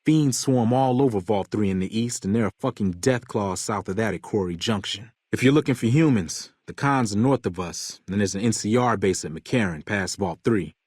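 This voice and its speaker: An American actor playing a bitter, hot-tempered convict gang leader in a video game, gruff, profane and commanding. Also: male voice, on the low side, moderate pace, few pauses, a little mellow, no echo, studio quality. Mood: grim, informative. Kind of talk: video game character dialogue.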